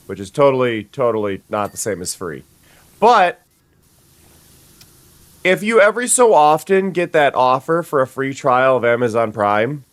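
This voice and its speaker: A young adult streamer, sniffly today, chatting casually with viewers and restarting sentences often. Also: male voice, medium pitch, a bit bright, dry recording. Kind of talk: casual gameplay chat.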